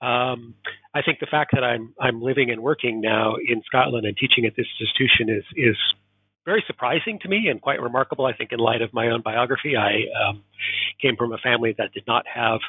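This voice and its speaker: A man's voice: medium pitch, fairly quick, husky, dry recording. An American man from Oregon, a professor, answering interview questions thoughtfully with many fillers. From Oregon, United States. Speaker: guest professor. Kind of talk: interview guest. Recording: tinny phone-like remote line.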